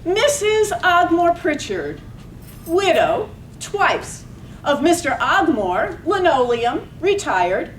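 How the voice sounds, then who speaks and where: A woman narrator giving a measured, phrase-by-phrase poetic reading of a staged radio play. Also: high voice. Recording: distant echoey room, faint noise.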